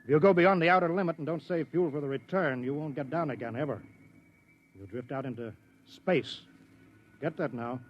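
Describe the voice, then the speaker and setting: A man's voice: on the high side, natural voice. Man voicing a protective rocket-base controller, clipped orders, dull old radio broadcast sound. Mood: firm. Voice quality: brisk, clipped instructions. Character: earnest male mission controller, protective and anxious. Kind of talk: 1950s radio drama acting.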